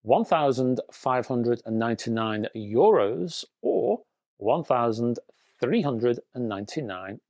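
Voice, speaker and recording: A man's voice: medium pitch, lively intonation, measured pace, a little mellow, dry recording, studio quality. A man presenting product news to viewers in a conversational way, with rhetorical questions and wry asides.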